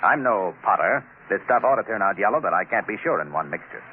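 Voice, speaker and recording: A man's voice: on the low side, expressive, fast talker, natural voice. An actor playing a determined Earthman negotiator in old-time radio science fiction, firm and dryly confident under threat. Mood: wry, confident. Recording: noisy, tinny old radio recording.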